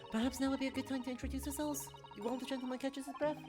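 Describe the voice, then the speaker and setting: A woman's voice: high voice, little pitch movement, very fast, music in the background, voice pushed up, natural voice. A woman voicing a composed young female assistant in a game, polite, frank and precise.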